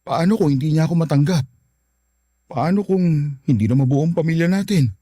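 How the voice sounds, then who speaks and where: Man acting remorseful father, animated pitch. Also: brisk, a little hiss or hum, natural voice. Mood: anxious. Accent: Filipino. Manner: earnest, pleading. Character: remorseful, pleading estranged Filipino father. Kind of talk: Filipino radio drama acting.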